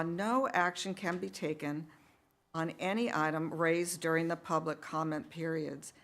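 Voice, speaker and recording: Low-voiced woman reading meeting rules. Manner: reads rules aloud in an even, measured way. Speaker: school board president. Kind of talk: formal meeting procedure. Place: school board meeting room.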